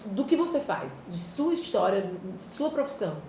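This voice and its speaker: A woman's voice: medium pitch, big pitch swings, normal speed, dark tone, echoey room, a little hiss or hum, low-quality recording. A woman raised in Bahia joins the interview with chatty interjections and questions. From raised in Bahia, Brazil. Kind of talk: casual interview chat.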